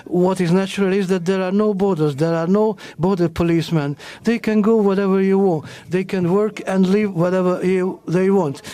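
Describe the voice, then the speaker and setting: A man addresses a rally crowd, his voice sitting high for a man. He speaks haltingly and keeps restarting and correcting his phrasing as he builds his political points. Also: dark tone. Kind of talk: formal speech to an audience.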